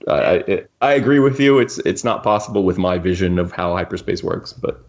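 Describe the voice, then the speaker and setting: A man's voice: sits low, lively intonation, fairly quick. A man co-hosting a podcast in casual conversation, with wry humor, on slightly muffled audio. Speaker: podcast co-host. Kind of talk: casual chat.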